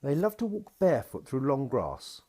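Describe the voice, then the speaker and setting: A man voicing a gentle, patient father in a picture-book story, reassuring his small daughter as he explains things. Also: mid-range voice, huge pitch swings, normal speed, slightly rough, voice pushed up.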